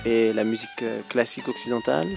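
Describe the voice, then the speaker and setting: Gravelly man answering with many restarts. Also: mid-range voice, steady tone, fast talker, faint music behind. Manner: thoughtful, hesitant with many fillers and restarts. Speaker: guest musician. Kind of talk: interview answers.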